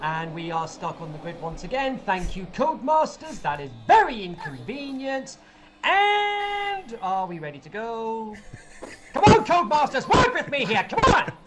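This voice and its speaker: Energetic male co-commentator whose pitch jumps all over; joking, exclaiming at every incident. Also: measured pace. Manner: animated, joking, exclaims loudly. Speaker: race co-commentator. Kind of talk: excitable live race commentary.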